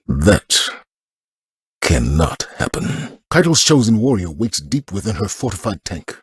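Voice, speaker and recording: Husky, stoic male military commander. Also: on the low side, no echo, studio quality, heavily acted. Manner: solemn, measured, formal oratory. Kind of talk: scripted game mission briefing.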